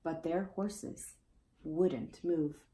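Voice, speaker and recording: A woman's voice: low voice, fairly even intonation, extremely slow, warm tone. A woman reading a children's picture book aloud expressively, as storyteller to young listeners. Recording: roomy, echoey indoor sound.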